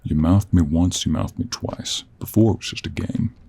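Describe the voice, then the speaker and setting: A man playing a gruff, teasing cowboy monster hunter with a Western American drawl and a gravelly, husky voice, in an intimate audio roleplay. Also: deep voice, moderate pace, mellow, heavily acted. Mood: stern, guarded.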